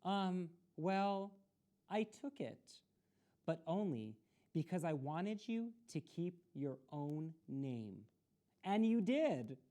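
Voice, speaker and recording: Lady doing a little schoolboy from a storybook, earnest, pitched up, bouncy. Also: very animated, takes it slowly, dark tone. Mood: sheepish. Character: young schoolboy classmate, eager and earnest.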